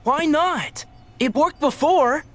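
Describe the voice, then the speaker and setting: A man's voice: very high voice, slow, fairly bright tone, full character voice. A man playing a brash, impatient teenage boy in a video game.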